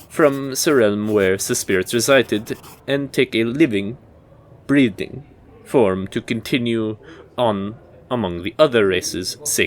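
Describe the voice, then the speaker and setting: Guy playing a fantasy history professor, really slow and deliberate, formal lecture, noisy ambience behind him. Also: mid-range voice. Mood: patient, dry. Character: measured, scholarly male university professor in a fantasy world. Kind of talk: scripted audio roleplay lecture.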